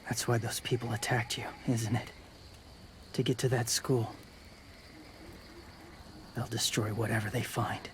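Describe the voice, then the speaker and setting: Weary young male wanderer played by a man for game drama: gentle, guarded, clipped lines, very flat delivery, faint outdoor noise. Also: medium pitch, unhurried, pauses often, natural voice. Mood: weary, subdued. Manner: terse, clipped fragments, subdued. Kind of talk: restrained video game drama acting.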